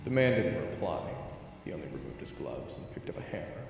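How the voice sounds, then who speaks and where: A man's voice: rumbling low, sing-song, fairly quick, vocal fry, a little mellow, slight background noise. A man narrating a scripted audio story in the second person, as a storyteller. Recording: echoey long reverb, distant, music behind.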